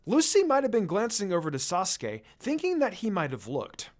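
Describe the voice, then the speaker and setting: A man's voice: high-pitched, huge pitch swings, normal speed. A man narrating a scripted story expressively, reading fan fiction aloud. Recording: music under the voice. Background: music.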